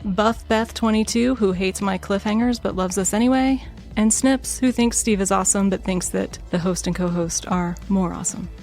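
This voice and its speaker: A woman's voice: mid-range voice, darkish tone, soft background music. Soothing-voiced woman hosting a podcast. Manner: soothing, measured delivery. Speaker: podcast host. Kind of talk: scripted true crime narration and chat.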